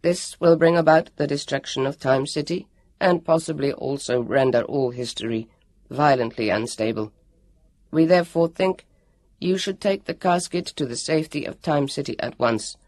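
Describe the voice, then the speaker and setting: Woman voicing a stiff, formal, low-pitched male android. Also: a little mellow, no echo. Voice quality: forced, strained, scratchy. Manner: stiff, precise, formal wording. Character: stoic, formal, injured male android helper. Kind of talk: audiobook character voice.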